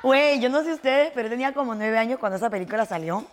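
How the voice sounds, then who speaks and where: Fast-talking chatty woman from Honduras. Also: fairly high pitch, lively intonation, crowd noise. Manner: chatty, slangy, mixes in English. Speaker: podcast host. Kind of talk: casual chat.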